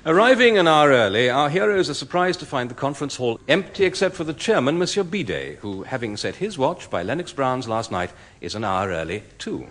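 Man as wry british radio comedy narrator, lively reading, noisy old tape. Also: mid-range voice, a little fast, few pauses, lightly acted. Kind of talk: scripted narration.

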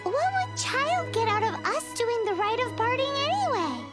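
Squeaky little fairy girl. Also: big pitch swings, moderate pace, loud music behind the voice. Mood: indignant, puzzled. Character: small childlike female fairy companion, perky and inquisitive. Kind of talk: video game character dialogue.

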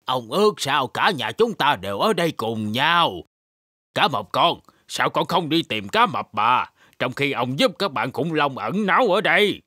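Old grandpa shark, kindly, bright, animated pitch, cartoon. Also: male voice, moderate pace, no echo, studio quality. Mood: warm, grateful. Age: older. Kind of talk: children's cartoon dubbing.

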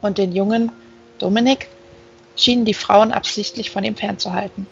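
A woman's voice: mid-range voice, fairly even intonation, moderate pace, gravelly, faint music behind. A woman reading a novel aloud as scripted audiobook narration. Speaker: audiobook reader. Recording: slightly dull, band-limited sound.

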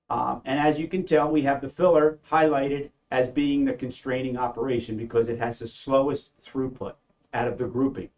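Guy talking through slides, plain and halting, a bit muffled. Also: medium pitch, darkish tone. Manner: plain, halting, with fillers and restarts. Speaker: company presenter. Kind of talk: explaining a slide presentation.

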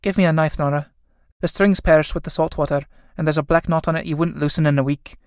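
A woman's voice: deep voice, fairly even intonation, quick pace, a little mellow, dry recording, clean studio sound, close to an everyday voice. A woman playing an anxious, scolding young Irish elder sister in a stage drama, speaking in an earnest, urgent way.

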